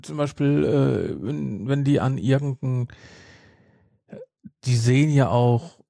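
A man's voice: medium pitch, slow pace, gravelly, warm tone, dry recording, clean studio sound. A man chatting casually as a podcast co-host, rambling and repeating his phrases. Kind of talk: casual chat.

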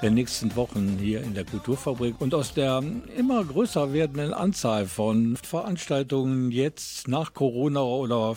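A man's voice: medium pitch, a little mellow, husky, no room sound. A man hosting a radio culture magazine, announcing upcoming events in a friendly way. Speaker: radio host.

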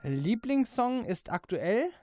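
A woman hosting a casual radio interview with quick-fire questions, giggling readily. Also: a little high, sing-song, mellow. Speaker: radio host.

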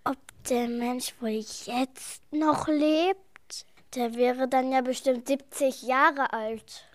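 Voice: high-pitched, fairly even intonation, slow pace, no room sound. A child playing a young detective in an amateur radio play, reading the lines in an eager, worried way. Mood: earnest, puzzled.

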